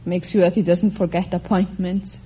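A woman from Iceland, a quiz show contestant who answers softly and carefully and laughs easily. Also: fairly low pitch, flat delivery, normal speed. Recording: dull old radio broadcast with faint hiss.

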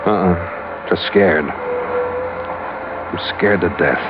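An actor playing a tough, wry detective in old radio noir style, speaking and narrating in a laconic, deadpan way. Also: male voice, low voice, very slow, exaggerated voice. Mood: guarded. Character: tough, wry male hardboiled detective narrating his adventure. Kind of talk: 1940s-50s radio noir acting and first-person narration. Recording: muffled old radio recording, loud music behind.

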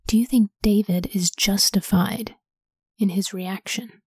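A woman in a soft whisper, reading scripture aloud and pausing to ask study questions. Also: medium pitch, fairly even intonation, husky, no room sound, studio quality. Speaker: Bible study leader.

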